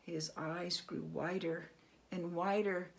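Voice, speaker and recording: A woman storyteller reading a storybook aloud expressively, with dramatic, suspenseful pauses. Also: fairly low pitch, fairly even intonation, vocal fry.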